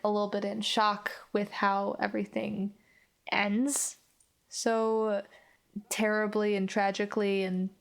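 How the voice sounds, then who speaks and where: Slow-paced woman in casual game chat. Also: medium pitch, creaky. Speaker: player on an actual play podcast.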